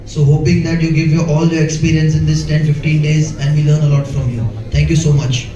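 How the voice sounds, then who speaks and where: A man's voice: fairly high pitch, little pitch movement, brisk, a crowd in the background. A man, a cricket player, politely thanking the coach in a large hotel function room. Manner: polite, respectful. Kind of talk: thank-you speech. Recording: dull, echoey hall.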